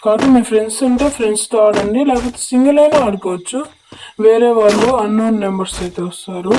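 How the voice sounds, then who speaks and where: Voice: mid-range voice, fairly even intonation, fast, noisy background. A gaming creator with a Telugu accent and a voice changer effect, giving instructional, repetitive gameplay tutorial commentary. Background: music.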